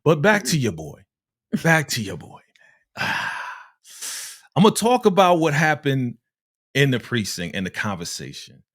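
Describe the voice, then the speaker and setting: Laid-back man with a lively voice. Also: a little slow, long pauses, no room sound, clean studio sound. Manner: laid-back, laughs often, drops to a low mumble. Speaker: show host. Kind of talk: casual TV recap chat.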